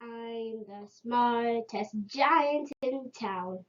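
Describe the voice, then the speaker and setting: A woman's voice: a little high, very slow, far from the mic, highs cut off. A woman voicing a kindly, cheerful male giant in a children's storybook. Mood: proud singing.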